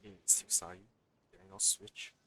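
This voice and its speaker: A man's voice: sits low, flat delivery, moderate pace, slight background noise. A man giving casual let's play commentary on a game, muttering his thoughts aloud. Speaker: game streamer. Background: loud game music.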